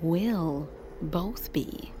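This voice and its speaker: A woman playing an anxious, tender submersible pilot and expectant mother, often hushed and halting, in an intimate audio drama. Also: on the low side, slight background noise.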